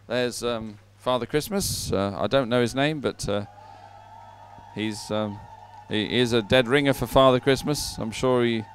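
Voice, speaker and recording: Clear-voiced male sports commentator. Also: mid-range voice, a little slow. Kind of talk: live event commentary and results announcing.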